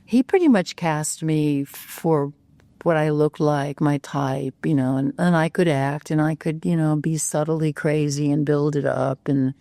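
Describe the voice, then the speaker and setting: An older woman speaks in a low register, with a close and dry sound. She rambles easily through her stories. She leans on frequent fillers and self-corrections. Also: unhurried, mellow, no room sound. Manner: rambling, many "you know" fillers, restarts. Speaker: actress, guest. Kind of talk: casual interview chat.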